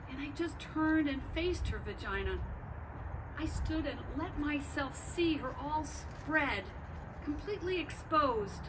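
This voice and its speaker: A woman performing a poetic stage monologue as an awed witness, in measured, reverent phrases. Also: sits high, heavily acted. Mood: solemn. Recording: distant mic, noisy live venue.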